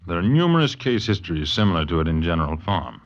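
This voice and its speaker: Composed psychiatrist. Deep voice, formal and clinical, old muffled radio recording. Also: male voice, sing-song, quick pace, faint music behind. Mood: assured, firm. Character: calm, authoritative male psychiatrist. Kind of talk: 1940s radio drama acting. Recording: dull vintage radio recording.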